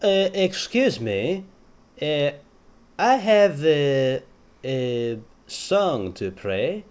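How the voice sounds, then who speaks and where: A man playing a bumbling North guest on a comedy radio bit, speaking halting, broken English. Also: on the high side, sing-song, full character voice. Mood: earnest proud. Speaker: musical guest. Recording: guitar playing loudly behind.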